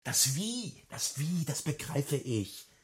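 A haunted, introspective man in an intimate radio play. He speaks slowly and haltingly, circling back on words and sinking toward whispers, with a pitch that moves widely. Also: sing-song, slightly rough, heavily acted. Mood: brooding, searching. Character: brooding, haunted male party worker recalling a furtive memory. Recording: music under the voice. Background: music.

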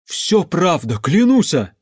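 A fearful, pleading peasant man in an audiobook story, performed by a male narrator. He exclaims earnestly and babbles, pitched up from a normal speaking voice. The sound is dry. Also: very animated, slow, husky, no echo, full character voice. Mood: earnest alarm. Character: frightened, pleading village man swearing fearfully to his master.

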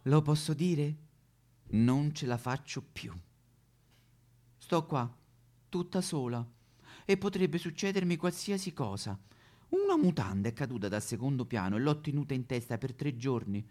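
A man's voice: mid-range voice, big pitch swings, measured pace, long pauses, a little mellow. Man playing histrionic lonely Roman woman, wildly swooping. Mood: plaintive. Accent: Roman Italian. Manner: histrionic, repetitive, swinging between whispers and wailing. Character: lonely, melodramatic woman recounting absurd pet disasters. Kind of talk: theatrical comic monologue.

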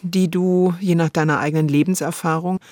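A woman's voice: on the low side, steady tone, fairly quick, darkish tone, no room sound. Woman discussing literature, close dry sound. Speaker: radio podcast host.

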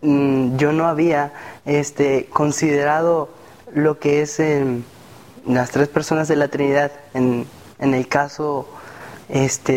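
A young man from Mexico joins a Bible study panel as a student. He speaks hesitantly, pausing and filling gaps as he thinks. His voice sits fairly high for a man. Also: darkish tone. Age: young adult. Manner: hesitant, many fillers. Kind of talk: casual discussion.

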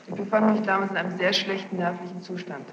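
A woman giving courtroom testimony as a witness, defensive and halting, often repeating herself. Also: medium pitch, fairly even intonation. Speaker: witness under questioning. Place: large echoey courtroom, archive tape. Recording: very noisy muffled tape, echoey hall.

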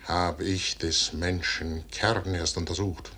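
An actor in a 1950s German radio drama playing a proud, stubborn, visionary general, husky and solemnly declamatory in verse. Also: male voice, sits low, steady tone, slow pace, bright, forceful tone, heavily acted. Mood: grave, certain.

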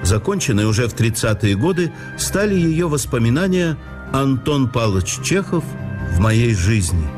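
A man narrating a scripted introduction in the style of a literary documentary. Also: mid-range voice. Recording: music under the voice. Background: prominent music.

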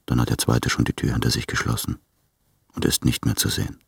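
An actor playing a brooding, self-doubting lover in a radio play, with measured, introspective delivery. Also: male voice, very deep voice, monotone, vocal fry, slightly rough, no room sound, clean studio sound, heavily acted. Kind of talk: literary German radio play monologue.

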